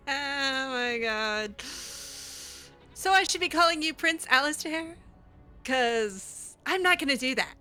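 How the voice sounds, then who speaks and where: A woman's voice: sits high, expressive, slow pace, long pauses, bright, forceful tone, soft background music. A woman improvising in-character commentary on a game playthrough, theatrical, sarcastic and easily exasperated. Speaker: gamer roleplaying her character.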